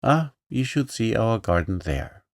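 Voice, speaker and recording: A man's voice: lowish voice, very animated, a little slow, dry recording, studio quality. A man reading aloud, voicing an impetuous, bossy little girl who talks frankly and eagerly.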